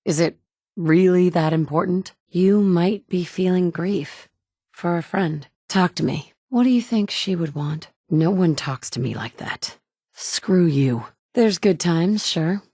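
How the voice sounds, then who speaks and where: Plain-spoken woman survivor, game character, direct and wry, lowish voice, dry studio sound. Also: slow pace, warm tone, no echo. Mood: even. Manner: plain-spoken and direct, by turns tender and curt. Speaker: player character. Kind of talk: video game voice lines. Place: recording studio.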